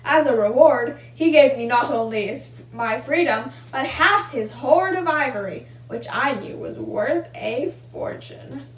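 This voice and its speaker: A woman's voice: high voice, animated, a little slow, hardly any pauses, a little hiss or hum, low-quality recording. A young woman reading a children's story and giving simple craft instructions. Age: young adult. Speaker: volunteer storybook reader and craft demonstrator.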